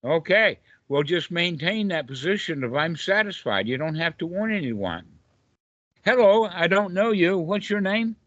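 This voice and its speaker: A man's voice: high voice, expressive, normal speed, fairly bright tone, dry recording. Southern US man teaching, dull dry call audio. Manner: emphatic, repeats rhetorical questions. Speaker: meditation teacher. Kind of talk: informal dhamma teaching in a group call. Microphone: online group call.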